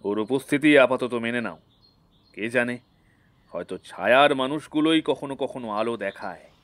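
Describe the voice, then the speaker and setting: A man's voice: medium pitch, a bit bright, dry recording, natural voice. Composed, serious man as a team leader, dry sound. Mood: reflective. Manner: measured, authoritative. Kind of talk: dramatic audio story acting.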